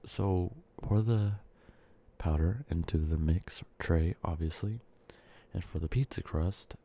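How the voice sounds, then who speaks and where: A congested man with a very low, gravelly voice talks softly and slips into whispers, rambling through an ASMR video with lots of ums and uhs. His tone is dark and mellow. He sounds sick and short of breath. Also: slow. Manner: soft speaking drifting into whispers, rambling with fillers. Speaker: ASMR creator. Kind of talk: soft-spoken ASMR candy-making ramble.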